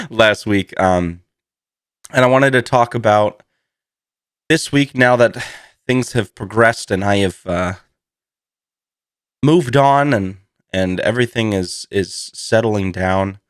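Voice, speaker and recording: Low-voiced man, slightly hoarse, rambling podcaster. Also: slow, pauses often, dry recording, clean studio sound. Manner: rambling, self-deprecating, laughs through his words. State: recovering from a cold, slightly hoarse. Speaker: podcast host. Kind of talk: casual solo podcast chat.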